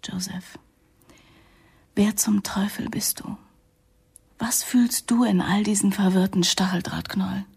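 An actress playing a defiant, sarcastic young woman in a radio drama, with a husky voice and a challenging, emotional manner. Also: medium pitch, fairly even intonation, unhurried, pauses often, a little mellow, heavily acted. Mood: bewildered, hurt.

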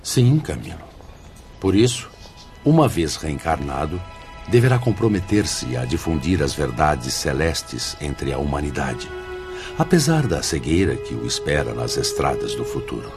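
A man's voice: very deep voice, big pitch swings, a little slow, exaggerated voice. A man playing a wise, fatherly spirit mentor in a radio drama, solemn and declamatory. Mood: instructive, solemn. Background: background music.

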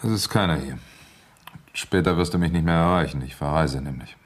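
An actor in a radio drama playing a nervous, evasive widowed husband with a hesitant way of talking. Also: male voice, extremely low pitch, brisk. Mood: curt, bitter.

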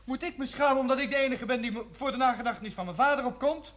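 A man's voice: very high voice, fast talker, fairly bright tone, a little hiss or hum. An actor playing a defiant, resentful young working man in a television drama, blunt and combative. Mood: angry. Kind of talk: 1970s television drama acting.

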